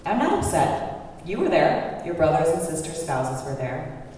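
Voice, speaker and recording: Woman acting a low-voiced, disapproving mother-in-law. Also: big pitch swings, a little slow, dark tone. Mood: accusing. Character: stern, sarcastic, disapproving older mother-in-law. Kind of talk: screenplay table read. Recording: very echoey, distant room recording.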